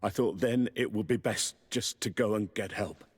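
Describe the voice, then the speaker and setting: An actor playing a shaken, deferential soldier giving a report in a video game. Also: male voice, on the low side, moderate pace, breathy edge. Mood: uneasy, hesitant. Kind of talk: video game cutscene acting. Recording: long reverberant hall echo.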